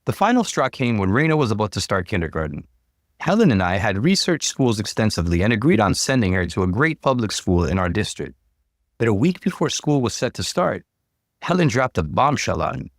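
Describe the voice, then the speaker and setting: A man reading a scripted relationship story aloud in an expressive, dramatic narrating style. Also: fairly low pitch, fairly quick, no echo, studio quality. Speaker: narrator reading online stories.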